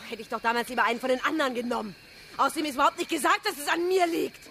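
A high-voiced, defiant young woman in a German radio play. She is indignant and sharp-tongued, with clearly audible ambient noise around her. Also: rapid-fire. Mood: furious, defiant. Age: young adult. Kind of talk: German radio drama acting.

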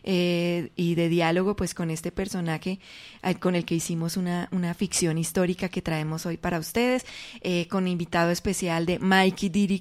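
A woman's voice: fairly low pitch, darkish tone. A woman with a Colombian accent speaking conversationally as a radio drama director being interviewed.

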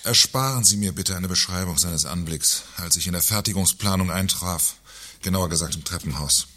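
An actor playing a sardonic, pompous company director in a satirical radio play, speaking in a dry, condescending, formal manner. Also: male voice, sits low, moderate pace, bright, forceful tone. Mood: grave, condescending.

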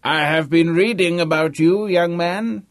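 Loud, crotchety ninety-year-old man. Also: a little high, a little slow, no echo, clean studio sound, pitched above the performer's own voice. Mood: crotchety, imperious. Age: older.

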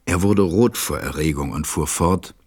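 A man playing a stranded pilot who narrates a radio play in a measured, reflective way. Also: low-pitched, darkish tone, slightly rough, no room sound, clean studio sound. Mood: tender, reflective.

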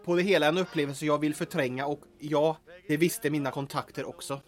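A man's voice: on the high side, soft background music, natural voice. A man playing a flippant, muttering mechanic in an audio drama, with a dry, sarcastic manner. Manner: sarcastic, deadpan.

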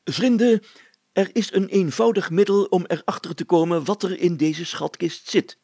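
A man's voice: high voice, fairly even intonation, moderate pace, a little mellow, husky, dry recording. A man voicing a pompous, solemn and easily irritated village mayor in a children's story, with formal, declamatory delivery. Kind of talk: children's story character acting.

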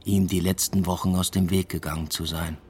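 A man playing an introspective, uneasy academic who narrates his own story in a measured, subdued radio drama style. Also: low-pitched, little pitch movement, darkish tone, slightly rough. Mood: brooding, reflective. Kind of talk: literary first-person radio drama narration.

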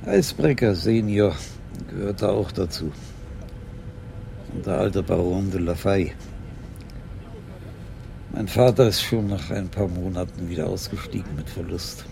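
An older police commissioner in a radio drama, a tender husband with a dark, mellow timbre. His voice sits low and he speaks with measured reassurance. A faint rumble lies underneath. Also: creaky. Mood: reflective. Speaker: actor in a radio crime drama. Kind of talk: German radio play acting, intimate dialogue.

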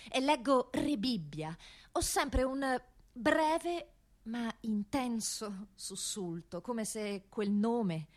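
A woman from Rome, an actress delivering a narrative stage monologue deliberately, phrase by phrase with pauses. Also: fairly high pitch. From Rome, Italy.

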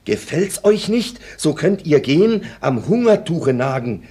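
An actor playing an indignant man reciting protest verse in a German radio drama, declamatory and husky. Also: on the high side, big pitch swings, unhurried, mellow, full character voice. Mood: grim indignation.

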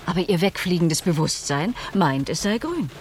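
A woman's voice: fairly low pitch, animated, moderate pace, a little mellow, slight background noise, lightly acted. An actress playing an earnest, composed female scientist who explains things formally and precisely in a German radio play. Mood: calm, explanatory.

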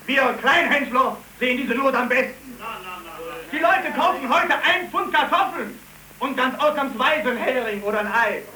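A man's voice: extremely high pitch, expressive, moderate pace, pushed and bright, recorded from a distance, full character voice. A man acting an agitated political orator, declaiming emphatically in a heated debate in a 1930s radio play. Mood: heated, indignant. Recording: echoey noisy hall, 1931 radio recording.

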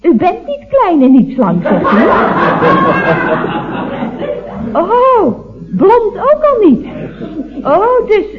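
A woman's voice: very high voice, wildly swooping pitch, takes it slowly, a loud crowd, heavily acted. A gossipy, catty switchboard telephonist in an old radio comedy. Her talk swings animatedly up and down with mock innocence. The sound is thin and phone-like, as on an old broadcast. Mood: flustered, mock-innocent. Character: chatty, sly, catty office switchboard telephonist. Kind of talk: 1970s radio comedy acting.

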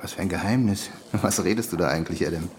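An actor in a German radio drama playing a gentle, clever half-ape scientist with a hesitant, brooding manner. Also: male voice, low voice, fast talker, slight background noise, lightly acted. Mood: guarded, indignant.

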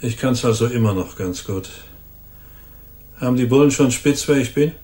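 A man's voice: medium pitch, moderate pace, mellow, lightly acted. An actor playing a cynical, hardened ex-convict gunman in a radio crime drama, talking in sneering, slangy tough-guy phrases. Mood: bitter, smug. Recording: roomy studio echo.

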